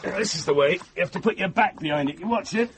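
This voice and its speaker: An actor playing a brash, boastful stable groom in a radio drama. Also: male voice, high-pitched, quick pace, a bit bright. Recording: noisy vintage radio drama recording.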